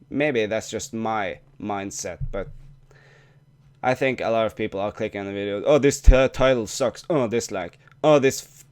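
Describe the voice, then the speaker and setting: A man's voice: medium pitch, smooth. A young man whose first language is Norwegian, talking casually to camera in a vlog with frequent restarts and swearing. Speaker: YouTuber.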